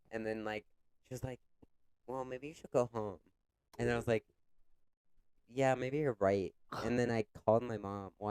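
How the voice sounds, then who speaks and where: Young guy cohosting a podcast, kinda stuffy and congested, low smooth voice, dry close sound, rambles with lots of likes. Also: a little mellow, no room sound. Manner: chatty, many "like" fillers, retells stories with quoted voices. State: congested with a cough. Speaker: podcast co-host. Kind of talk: casual chat.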